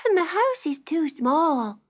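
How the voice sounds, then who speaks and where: A woman's voice: high voice, big pitch swings, slow, voice pushed up, full character voice. A woman voicing an innocent little farm girl in a children's storybook, earnest and childlike. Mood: doubtful.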